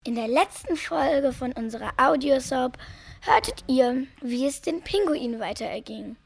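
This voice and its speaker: A young child presenter reads scripted narration for an audio drama. The voice is high and creaky, with faint noise behind it. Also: expressive, moderate pace. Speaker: presenter of an audio soap recap.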